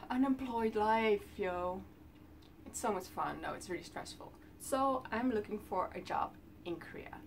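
A woman's voice: medium pitch, lively intonation, measured pace, recorded from a distance, a little hiss or hum. A woman in her twenties from the Netherlands with a Dutch accent, chatting casually to camera from her bedroom, with lots of ums while she talks with her hands. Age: 20s. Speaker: vlogger. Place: small bedroom indoors.

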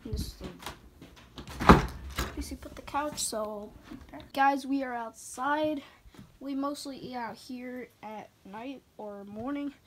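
Young girl, chatty vlogger, fairly high voice, noisy phone audio. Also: takes it slowly, long pauses. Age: child. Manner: chatty, rambling. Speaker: channel host. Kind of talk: home tour vlog. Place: house and yard, indoors and outdoors. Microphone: handheld phone camera.

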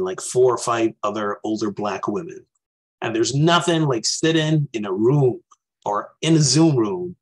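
A man's voice: mid-range voice, breathy edge, rough recording. A man in his forties from Barbados, giving an earnest, reflective talk over a video call from a small room. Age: 40s. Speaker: minister. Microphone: webcam on a video call.

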